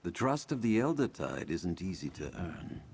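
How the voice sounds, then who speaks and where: Deep, gravelly old druid tutor. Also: male voice, sing-song, normal speed, a little mellow, slight background noise. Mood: solemn, concerned. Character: grave, learned older male druid tutor, courteous and concerned. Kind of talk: fantasy video game dialogue.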